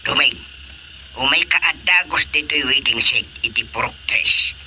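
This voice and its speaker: A man playing an excitable, boastful older village chairman in a comic radio drama, with exclamatory delivery. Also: mid-range voice, normal speed, slight background noise. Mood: instructing. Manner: exclamatory, animated.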